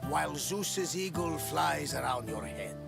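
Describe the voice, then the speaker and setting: An actor playing a devout older Greek sea captain in a video game, gravelly and theatrical. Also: male voice, on the high side, expressive, unhurried, loud music behind the voice, full character voice. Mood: grateful, jovial. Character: fervent, pious old male Greek sea captain. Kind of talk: video game cutscene acting.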